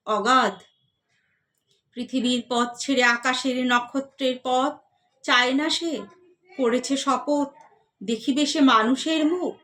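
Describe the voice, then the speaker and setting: A woman reciting poetry expressively, in measured phrases that fall softly at the line endings. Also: sits high.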